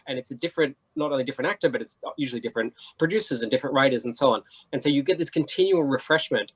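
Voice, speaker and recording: Fast-talking, slightly husky man on a panel. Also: mid-range voice. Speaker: convention panelist. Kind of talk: animated panel discussion.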